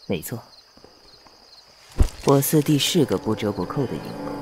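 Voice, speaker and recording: A man acting in a costume audio drama as a composed, shrewd prince, speaking with measured, deliberate pauses and cold disdain. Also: mid-range voice, expressive, noisy background, natural voice. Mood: cold, dismissive. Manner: measured, deliberate, pausing between phrases.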